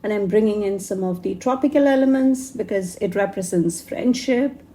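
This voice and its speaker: A woman crafting YouTuber, hoarse from a cold, narrating a journaling tutorial slowly with many fillers and restarts. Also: medium pitch, warm tone.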